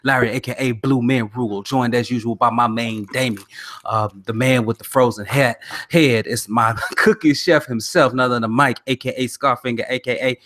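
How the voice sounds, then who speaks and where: Brief: male podcast host, 30s, from Oklahoma, baritone, loose slang, lively delivery, mid pitch. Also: normal speed. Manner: slangy, animated, laughs often. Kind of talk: casual podcast chat.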